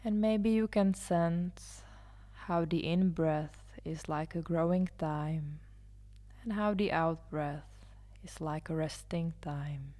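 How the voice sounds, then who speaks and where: A woman leading a guided meditation as a yoga nidra guide, soothing and hushed, often trailing into a near-whisper. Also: sits low, slow pace. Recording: live instrumental music behind the voice. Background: soft live instrumental music.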